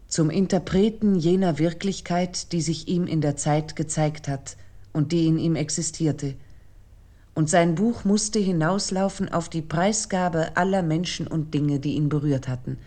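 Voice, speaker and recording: Female radio play narrator: deep, dark voice, solemn and measured literary delivery.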